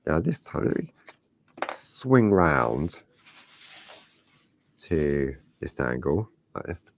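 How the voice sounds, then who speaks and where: A man with a slightly croaky voice, demonstrating a parchment craft step by step and chatting to himself as he works. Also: rumbling low, sing-song, very slow. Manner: chatty, talks to himself while working. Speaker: craft tutorial host.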